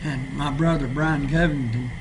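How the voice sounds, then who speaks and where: A man's voice: mid-range voice, steady tone, moderate pace. A man in his sixties with an East Tennessee accent, telling childhood stories in an easygoing, chuckling way in a small library room. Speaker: storyteller. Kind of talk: casual reminiscing. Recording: noisy room recording.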